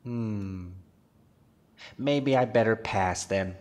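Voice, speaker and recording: An actor playing a timid, earnest forest ranger, polite and matter-of-fact, in a comedic audio drama. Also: male voice, fairly low pitch, drawn-out words. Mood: mild, timid.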